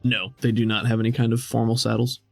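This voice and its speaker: A man's voice: mid-range voice, level tone, fast, gravelly, no echo, faint music behind. A man working as game master, narrating and explaining a tabletop game in a casual, conversational way.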